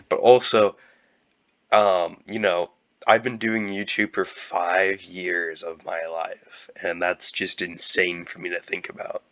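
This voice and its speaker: A young man with a cold, talking in a halting, casual way with many fillers and restarts. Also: sits low, sharp tone. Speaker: YouTuber. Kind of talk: casual year-end vlog.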